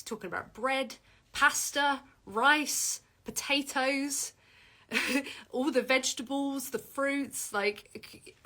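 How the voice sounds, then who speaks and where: A woman hosting a casual livestream Q&A, reading out viewer comments and answering with many fillers and restarts. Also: bright, forceful tone. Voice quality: deep voice for a woman.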